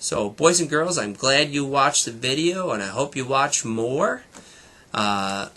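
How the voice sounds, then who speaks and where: Animated, bright-voiced man reading to children. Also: medium pitch, a little slow. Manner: explains and comments for young listeners. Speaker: storybook reader for schoolchildren. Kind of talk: expressive children's read-aloud.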